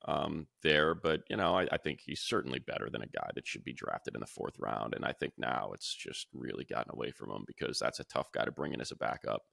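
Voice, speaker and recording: A man talking football in a casual sports podcast chat from a room at home, rambling with restarts and growing animated when he compares players. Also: extremely low pitch, a little fast, creaky, hardly any pauses, no echo, studio quality. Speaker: radio play-by-play announcer.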